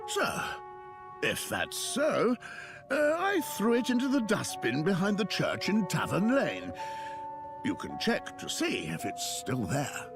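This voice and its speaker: A hesitant male priest from an adventure game, mild and fussy. His high voice swings widely in pitch over faint background music. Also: huge pitch swings, slow pace, heavily acted. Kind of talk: video game character dialogue.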